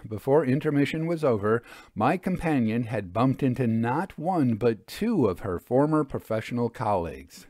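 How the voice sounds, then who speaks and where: A man reading a period mystery story as scripted narration, in a measured, literary and expressive manner. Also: mid-range voice, dry recording, studio quality.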